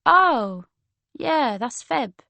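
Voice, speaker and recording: A breezy young woman with a London accent, acted out by a female audiobook narrator. She is friendly, laid-back and slangy, with a raised, fairly high pitch and a dry, close sound. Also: big pitch swings, extremely slow, creaky, bright, forceful tone, no echo, heavily acted. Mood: breezy, helpful. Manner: breezy, informal. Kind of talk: casual slangy character dialogue in an audiobook.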